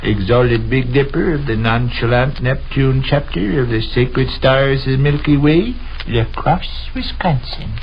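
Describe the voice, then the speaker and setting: An actor playing a pompous, deadpan American family man in an old-time radio comedy, speaking deliberately as if reading a list. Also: mid-range voice, close to an everyday voice. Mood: pompous, matter-of-fact. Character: Vic, pompous deadpan family man listing odd cronies. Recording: dull, noisy old radio recording.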